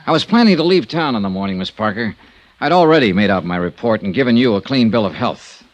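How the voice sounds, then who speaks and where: An American actor playing a shrewd, hard-boiled male insurance investigator in a 1950s radio drama, with a crisp, deliberate, wry delivery. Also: on the low side, animated, lo-fi sound, lightly acted. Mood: businesslike. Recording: vintage radio drama recording.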